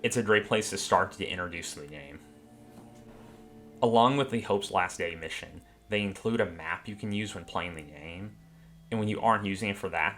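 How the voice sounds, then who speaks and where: A man giving a scripted review narration as a tabletop game reviewer, with a measured, explanatory delivery. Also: low-pitched, fairly bright tone. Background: background music.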